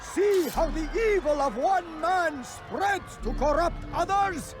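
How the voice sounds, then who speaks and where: A cruel medieval zealot in a video game, with a high-pitched voice. He declaims grandly and draws his words out slowly, over loud music. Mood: thunderous, fanatical. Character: zealous, cruel crusade-era tyrant preaching to a crowd. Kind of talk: video game dramatic acting, oratory.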